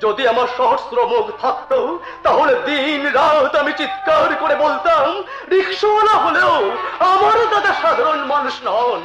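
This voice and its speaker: An actor playing a devoted, earnest younger brother in Bengali folk theatre, speaking in a declamatory, emotional way. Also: male voice, extremely high pitch, lively intonation, moderate pace. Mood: reverent, fervent. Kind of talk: Bengali folk theatre (jatra) stage acting. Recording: echoey, dull stage recording with music.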